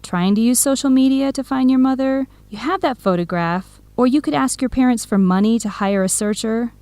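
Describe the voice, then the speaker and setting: A woman's voice: on the high side, normal speed, darkish tone, clear, resonant tone, no echo, close to an everyday voice. A woman playing a supportive, frank young best friend in a radio drama, with a direct, encouraging, conversational manner. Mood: concerned. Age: young adult. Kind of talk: scripted radio drama dialogue.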